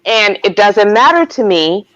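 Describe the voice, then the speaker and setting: A woman's voice: medium pitch, very animated, unhurried, no room sound. Assertive woman on dull lo-fi audio. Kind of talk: opinionated discussion.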